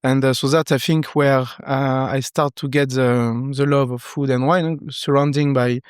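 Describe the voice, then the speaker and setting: A man in his thirties from southern France with a French accent, talking relaxed and thoughtful, pausing often, as a podcast guest. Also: medium pitch, clean tone, no room sound, studio quality. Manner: relaxed, thoughtful, halting with many fillers. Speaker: guest, wine professional. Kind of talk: casual interview talk.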